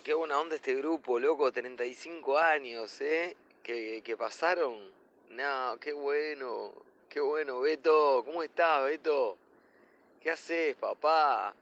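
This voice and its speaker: A man's voice: mid-range voice, a bit bright, close to an everyday voice. A man with an Argentine accent playing an enthusiastic middle-aged slacker who repeats himself in heavy slang. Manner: repetitive, slangy. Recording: dull, faintly noisy voice message.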